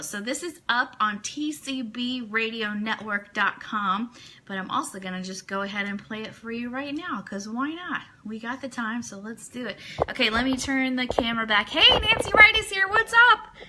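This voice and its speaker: A woman's voice: a little high, bright, forceful tone. A woman hosting a casual live-stream fan news show, chatting informally and enthusiastically straight to her viewers. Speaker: radio network show host.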